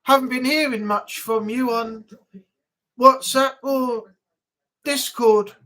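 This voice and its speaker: A woman's voice: on the high side, steady tone, takes it slowly, a bit bright. Chatty woman hosting a live chat. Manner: chatty, rambling, with fillers. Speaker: livestream host. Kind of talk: casual live chat to viewers.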